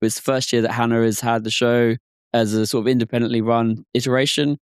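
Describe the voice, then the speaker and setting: A man co-hosting a podcast in casual chat, his voice hoarse and croaky, rambling with many fillers. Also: medium pitch, little pitch movement, brisk, dry recording, studio quality. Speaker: podcast co-host.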